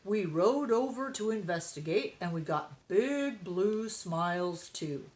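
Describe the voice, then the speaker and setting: A woman's voice: medium pitch, animated, slow pace, a little mellow. A woman voicing a playful, nostalgic old grandfather cat in a children's storybook, drawing out words in a folksy way. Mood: nostalgic, teasing.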